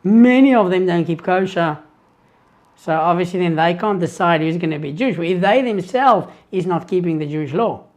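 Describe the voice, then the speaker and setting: A South African man whose first language is Afrikaans, earnestly answering viewer questions in a live-stream Q&A, halting and restarting as he thinks. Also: sits high, expressive, darkish tone.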